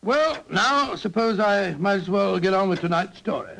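An actor playing an elderly, genial retired English doctor telling stories in an avuncular, hesitant old-fashioned way on a 1940s radio drama. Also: male voice, high-pitched, expressive, normal speed, creaky, a bit bright, exaggerated voice. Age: older. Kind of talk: 1940s radio acting, storytelling. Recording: noisy vintage 1940s radio recording.

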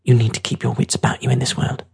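Husky, raised pitch, tough teenage girl acted by a narrator, scornful, bossy, streetwise, audiobook character. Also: flat delivery, fast, a little mellow. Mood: stern, impatient. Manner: brash, mocking, bossy.

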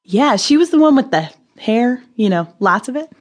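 An actress playing a breezy, irreverent amateur sleuth who loves gossip, wry and chatty in an audio drama. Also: female voice, fairly high pitch, lively intonation, moderate pace.

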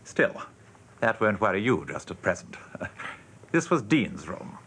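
A man's voice: lowish voice, big pitch swings, measured pace, fairly bright tone, a little hiss or hum. An actor playing a world-weary, sardonic English advertising man with a dry, mock-pompous manner in a radio drama. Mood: wry, breezy. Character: world-weary, sardonic male advertising copywriter showing newcomer around.

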